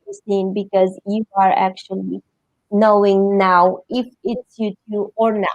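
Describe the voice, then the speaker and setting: A middle-aged woman from Romania with a Romanian accent, answering interview questions haltingly as she searches for words in English. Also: medium pitch, flat delivery, a little mellow, no echo, dull audio. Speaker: interview guest.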